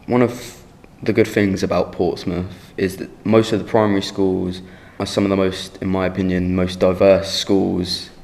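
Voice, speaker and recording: Deep, gravelly 19-year-old man. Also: flat delivery, a little mellow. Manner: halting, with fillers and restarts. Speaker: care leaver. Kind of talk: personal testimony for a short film.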